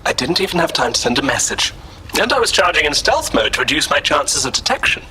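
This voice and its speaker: A man's voice: a little high, sing-song, fast talker, pushed and bright, slight background noise, full character voice, rough recording. A man playing a prim, defensive robot companion in an audio drama, speaking with formal, unflappable precision. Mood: formally apologetic.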